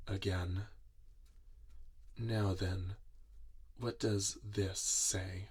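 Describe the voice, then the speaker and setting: A man playing a gentle, weary inventor and doting father in a soft-spoken ASMR roleplay, musing tenderly to his robot. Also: low-pitched, level tone, breathy edge, heavily acted. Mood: tired, proud. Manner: slow, tender, musing, talking to a robot listener.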